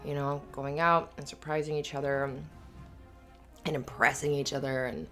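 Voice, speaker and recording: Low-voiced, affectionate girlfriend played by a woman. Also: level tone, moderate pace, pushed and bright, soft background music. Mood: tentative, fond. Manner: rambling, hesitant, giggly asides. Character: affectionate, earnest, slightly anxious live-in girlfriend. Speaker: audio roleplay performer. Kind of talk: intimate girlfriend ASMR roleplay, conversational.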